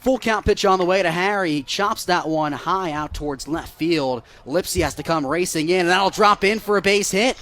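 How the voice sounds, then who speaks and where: An American man doing live baseball play-by-play on the radio, measured between pitches and lifting with excitement on plays. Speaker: play-by-play broadcaster.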